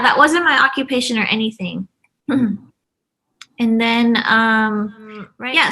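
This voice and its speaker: Bright, high-pitched woman chatting casually. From grew up in Brazil, the US and Japan. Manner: chatty, informal, laughs easily. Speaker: interview guest, stationery shop co-owner. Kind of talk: casual conversational interview.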